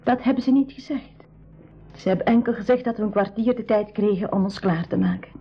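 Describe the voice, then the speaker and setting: A woman's voice: fairly high pitch, brisk, slightly rough, faint music behind. A shy village girl of seventeen, frightened and hesitant as a refugee in an old radio drama. She is sparing with words. The recording is dull and lo-fi. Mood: frightened, subdued. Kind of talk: 1980s school radio play acting.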